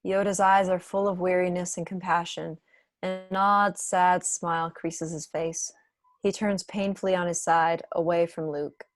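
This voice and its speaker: A woman delivers scripted narration for a home table read. Her voice is a bit low for a woman, and the sound is dry with little room in it. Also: level tone, a little slow, no echo, soft background music. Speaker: reader of stage directions. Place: home room, on a video call.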